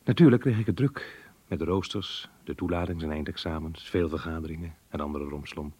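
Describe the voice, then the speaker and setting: Casting: weary, self-doubting husband and schoolmaster, radio drama, deep restrained voice. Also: male voice, fairly quick, warm tone, slightly rough, no echo. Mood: weary, irritated. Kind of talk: Dutch radio play acting and monologue.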